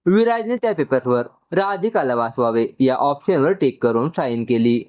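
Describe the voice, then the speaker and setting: A male narrator reads a scripted, emotional story. His voice is mid-pitched, and the recording is slightly dull and lo-fi. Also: expressive, moderate pace.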